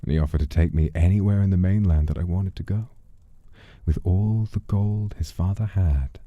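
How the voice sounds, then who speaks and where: A young man streamer telling a story in character, slow and theatrical, dipping into hushed whispers. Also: low-pitched, no echo, phone-quality sound.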